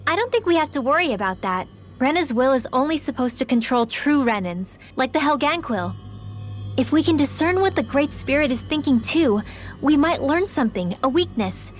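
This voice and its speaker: A woman's voice: high-pitched, lively intonation, moderate pace, soft background music. A woman voicing an earnest young girl adventurer in a dramatic video game dub, with a slightly hesitant manner. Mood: determined.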